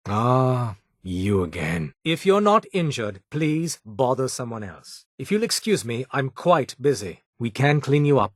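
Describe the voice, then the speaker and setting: An actor playing a weary, condescending underground doctor in a video game, speaking in a clipped, formal, sardonic way. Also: male voice, medium pitch, husky, no echo, studio quality. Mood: irritated, sardonic.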